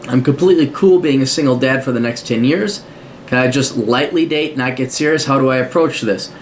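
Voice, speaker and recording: A blunt, profane American man hosts a podcast, reading out a listener's letter and answering it in casual rants. His voice sits in an ordinary middle pitch. A faint hiss of noise runs under the recording. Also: a little fast. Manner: blunt, swears often, mocking voices for asides. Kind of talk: casual profane advice monologue.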